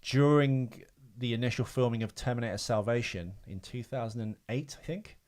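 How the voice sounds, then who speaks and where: A man's voice: mid-range voice, moderate pace, a little mellow. A man hosting a casual film trivia podcast, explaining things in a hesitant, informal way. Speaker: podcast host.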